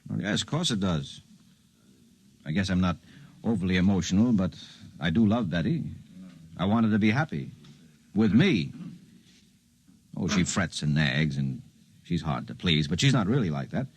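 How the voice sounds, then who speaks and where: A man's voice: low-pitched, big pitch swings, moderate pace, long pauses, slightly rough, dry recording, heavily acted. An actor playing a skeptical, troubled professional man in a 1950s radio drama, talking in blunt, colloquial, questioning lines. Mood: troubled, earnest. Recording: 1950s radio broadcast recording.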